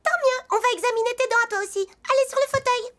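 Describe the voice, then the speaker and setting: A woman's voice: very high voice, quick pace. A woman voicing a tiny, kindly mouse dentist who reassures and instructs in a children's cartoon. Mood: encouraging. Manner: reassuring, instructive, gently scolding. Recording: music behind the voice.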